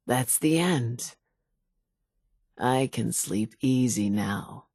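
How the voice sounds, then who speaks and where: An actress playing a vengeful, folksy old islander woman in a video game, with a weathered, husky voice and a deliberate storytelling way of talking. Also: rumbling low, darkish tone, no room sound, studio quality, full character voice. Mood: solemn, relieved. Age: older. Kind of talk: video game character dialogue.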